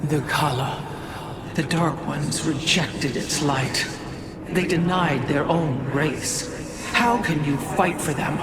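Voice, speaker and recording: A slow, imperious voice of an ancient female alien, with loud noise behind. She sounds formal, stern and haughty, a game cutscene elder. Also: sits low. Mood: scornful. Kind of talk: dramatic video game voice acting.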